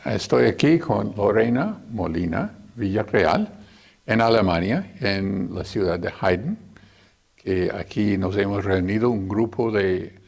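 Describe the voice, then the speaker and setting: Male interviewer; very low, husky voice with gravelly fry; steady, attentive host. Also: slow. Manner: gives constant short backchannel acknowledgements. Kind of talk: interview hosting.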